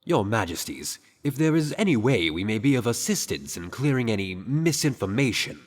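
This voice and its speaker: A man playing a pompous, smooth-tongued royal chamberlain in a fan-made radio drama, formal, measured and condescending. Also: medium pitch, big pitch swings. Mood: formal, deferential. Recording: long hall reverb.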